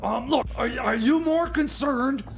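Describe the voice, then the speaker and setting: A man's voice: extremely high pitch, unhurried, gravelly, a little hiss or hum, heavily acted. A man voicing a flustered, stubborn time machine mechanic who stammers and rambles patriotically in a comedy radio sketch. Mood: indignant, preachy.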